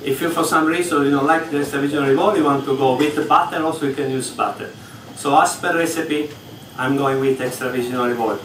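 A man's voice: medium pitch, quick pace, few pauses, a bit bright, a little hiss or hum. A man, an English speaker, explaining step by step during a live cooking demonstration on stage, talking in slightly broken English. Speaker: executive chef. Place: large hall on a ship, in front of an audience. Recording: echoey hall from far off.

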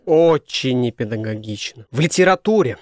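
A man's voice: mid-range voice, animated, moderate pace, dry recording, clean studio sound, close to an everyday voice. A man voicing a cheeky young son who talks back in a mocking, pompous way. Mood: smug mocking. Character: cheeky, smug, mock-learned young son.

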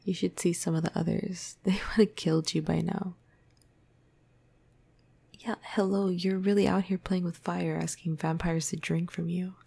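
A woman playing a flirty, cuddly vampire crush in a breathy, casual ASMR roleplay, half-whispering close to the microphone. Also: on the low side, little pitch movement, normal speed, mellow, dry recording. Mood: concerned, teasing. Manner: casual, playful, hesitant, breathy murmur.

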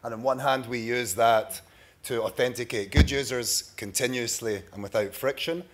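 Scottish guy in his 30s doing a startup pitch on stage, medium pitched voice. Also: steady tone, normal speed. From Scotland. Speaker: startup pitch presenter, sales executive. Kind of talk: prepared conference pitch. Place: large bright conference room, at a podium. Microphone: podium microphone.

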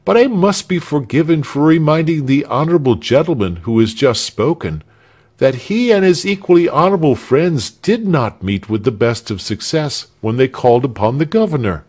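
A pompous village know-it-all, put on by a man reading a storybook aloud, lectures in a raised, fussy voice that swings up and down. The tone is self-important and a little husky, the delivery unhurried. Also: a little high, very animated, darkish tone, voice pushed up. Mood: lecturing.

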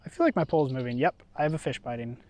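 A young man vlogging while fishing outdoors by a river, talking excitedly to viewers on an action camera. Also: mid-range voice, rapid-fire. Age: young adult. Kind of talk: casual outdoor vlog.

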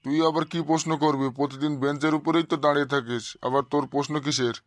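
A man's voice: fairly high pitch, fairly even intonation, racing, dry recording, natural voice. A man voicing a short-tempered middle-aged male schoolteacher in a comic cartoon, blunt and sarcastic with his pupils. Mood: exasperated, scolding. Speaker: cartoon voice actor.

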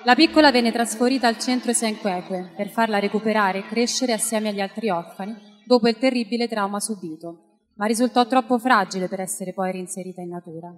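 A woman hosts an awards event from a theatre stage. She reads from a script in a fairly high voice over background music. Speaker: awards host. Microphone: handheld microphone.

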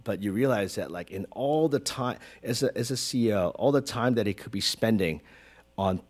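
A man's voice: fairly low pitch, normal speed. A man in his forties from Hong Kong, a creative director as guest in an onstage conversation, talking with lots of fillers and big hand gestures into a stand mic. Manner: animated, gestures broadly, many fillers. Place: stage in a small auditorium with audience. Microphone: stand mic close to mouth.

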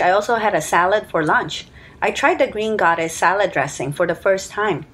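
A woman in her thirties or forties reading a scripted voice-over, listing her grocery purchases in an even, matter-of-fact way. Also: mid-range voice. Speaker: grocery haul vlogger. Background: soft background music.

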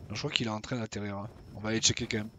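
A man's voice: lowish voice, steady tone, rapid-fire, sharp tone, slight background noise. A young man casually commenting on his own gameplay as he plays, chatty and swearing now and then. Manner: chatty, swears casually, talks to himself while playing. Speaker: gaming YouTuber.

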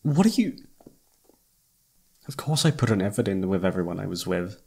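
A man playing a self-doubting, lovestruck best friend in an intimate ASMR roleplay, speaking softly and haltingly with tender hesitation. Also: sits low, sing-song, gravelly, breathy edge, heavily acted. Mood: defensive, dejected. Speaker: amateur voice actor.

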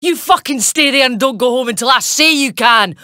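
Bright, bossy criminal woman. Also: high-pitched, fairly quick, no room sound, clean studio sound. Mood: bossy, irritated. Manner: blunt, demanding. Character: brash, bossy, foul-mouthed female criminal.

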